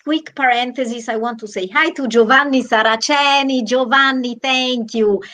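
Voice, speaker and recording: An Italian woman with a slightly high voice talks in a lively, animated way. She runs a theatre troupe and chats casually over a video call, restarting her sentences as she goes. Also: normal speed. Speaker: artistic director, co-host.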